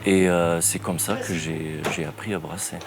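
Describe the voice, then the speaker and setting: A man from Bavaria, a brewer speaking in an interview in a slow, halting way with many pauses. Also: low voice, fairly even intonation, vocal fry, noisy background. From Bavaria, Germany. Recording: music bed under the voice.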